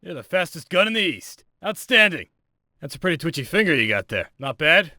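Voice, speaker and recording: An actor playing a brusque, foul-mouthed military firearms instructor in a video game, giving blunt, commanding orders. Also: male voice, on the high side, big pitch swings, a little fast, pushed and bright, no echo, clean studio sound, exaggerated voice. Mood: firm, instructive. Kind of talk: scripted game voice lines, instructional and barked commands.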